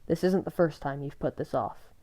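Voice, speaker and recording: A woman's voice: low-pitched, normal speed, mellow. Woman voicing a hotheaded young man. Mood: mocking. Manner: blunt, mocking, then furious.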